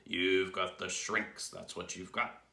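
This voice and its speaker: A man voicing a scheming, gleefully cruel old storybook husband who taunts with emphatic, drawn-out relish. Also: low voice, level tone, creaky, bright, forceful tone, roomy sound, exaggerated voice. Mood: taunting.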